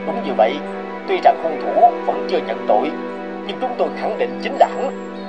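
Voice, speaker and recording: A man's voice: on the high side, very animated, moderate pace, highs cut off, loud music behind the voice. A man as a formal, probing cartoon policeman. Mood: firm, probing. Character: stern, shrewd male police investigator, brisk and courteous. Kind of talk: animated moral-tale dubbing.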